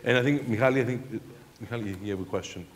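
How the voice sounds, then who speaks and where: A man's voice: mid-range voice, fast. A man moderating a live panel discussion in an informal way. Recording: echoey hall, faint crowd noise.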